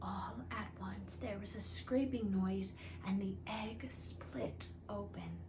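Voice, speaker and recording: A woman reading a storybook aloud as a teacher, in an expressive narrating manner. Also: mid-range voice, takes it slowly, background noise.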